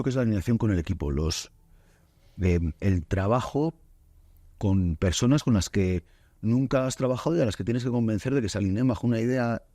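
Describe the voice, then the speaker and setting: A man from Spain hosting a podcast interview in a studio, talking in a relaxed, halting way with many fillers and restarts. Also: on the low side, no echo. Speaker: podcast host. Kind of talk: casual interview chat.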